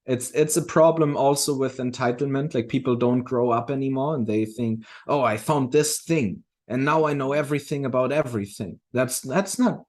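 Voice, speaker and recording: Man talking haltingly and reflectively. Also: mid-range voice, darkish tone. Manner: halting, reflective, often restarting phrases. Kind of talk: casual discussion.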